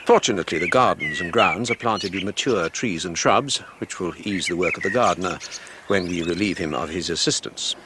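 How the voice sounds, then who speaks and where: An English actor playing a stern, self-important Victorian patriarch in a radio drama, speaking formally. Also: male voice, sits low, a little fast, noisy background, natural voice. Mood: brisk, businesslike. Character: pompous middle-aged Victorian father, stern patriarch. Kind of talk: 1990s radio drama acting.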